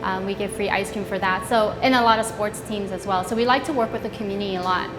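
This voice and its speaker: A woman's voice: medium pitch, quick pace, in a large hall, over music, rough recording. A middle-aged woman from Vietnam, co-founder of an ice cream shop, talking casually in an interview with frequent ums and restarts. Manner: relaxed, with many ums and restarts.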